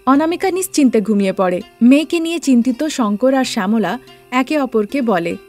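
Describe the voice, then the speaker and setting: Female cartoon narrator, higher register, scripted storytelling, music bed. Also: lively intonation, a little fast, a little mellow.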